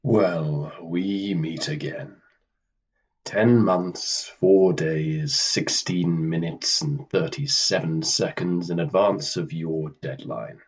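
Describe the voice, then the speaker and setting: Slow, very deep, deadpan Death played by an actor. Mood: weary authority. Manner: measured, formal, deadpan. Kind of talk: radio play acting.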